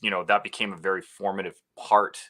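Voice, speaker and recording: Bright-voiced, low-pitched Canadian man. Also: quick pace. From Alberta, Canada. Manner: animated storyteller, bursts of laughter and acted-out quotes. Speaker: podcast guest, actor and speaker. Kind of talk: casual storytelling interview.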